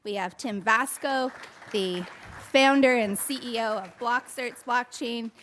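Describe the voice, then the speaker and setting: A woman's voice: a little high, measured pace, a bit bright, slight background noise. A woman hosting a conference, introducing the panel in an upbeat, formal way. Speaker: event host. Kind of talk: stage introductions. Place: conference stage.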